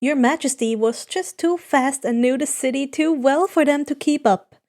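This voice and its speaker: High-voiced woman narrating a story. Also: moderate pace, darkish tone. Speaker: fan fiction reader. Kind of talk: scripted narration.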